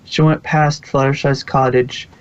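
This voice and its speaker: A man's voice: mid-range voice, level tone, a little slow, clear, resonant tone. A man narrating fan fiction from a script in an informal way, with occasional stumbles. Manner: informal, stumbles and self-corrects. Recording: dull lo-fi recording.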